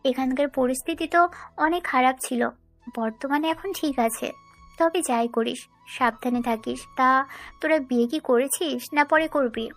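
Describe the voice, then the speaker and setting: High-voiced caring young woman voiced by a narrator. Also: a little slow, faint music behind. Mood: concerned. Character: caring, scheming young woman helping runaway lovers.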